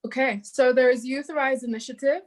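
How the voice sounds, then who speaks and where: A woman's voice: sits high, steady tone, a little fast. A young woman, answering interview questions with light self-deprecating humor. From Zambia. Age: young adult. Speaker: guest entrepreneur.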